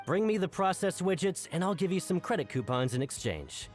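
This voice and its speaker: An actor playing a gruff, bullying prison foreman in a video game, stern, barking and condescending. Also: sits high, sing-song, fairly quick, over music. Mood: bossy, instructing. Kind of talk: animated video game voice acting.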